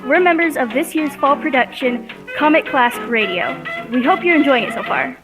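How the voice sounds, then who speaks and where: A woman's voice: high-pitched, expressive, moderate pace, music in the background, low-quality recording. A teenage young woman, a student presenter, reading a scripted fundraising announcement.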